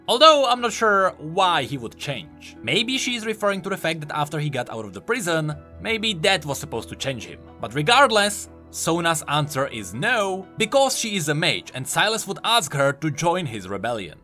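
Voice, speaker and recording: A man's voice: a little high, big pitch swings, normal speed, few pauses, sharp tone. A man hosting a video game lore explainer, narrating from a script with emphatic, dramatic storytelling delivery. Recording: music bed under voice-over. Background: background music.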